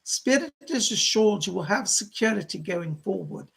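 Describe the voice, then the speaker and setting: A gentle motherly female spirit acted out by a male medium speaks in a very creaky, husky voice. The delivery is soothing and effusive, full of genteel endearments, as in a séance. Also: high voice, animated, normal speed, exaggerated voice. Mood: excited, welcoming.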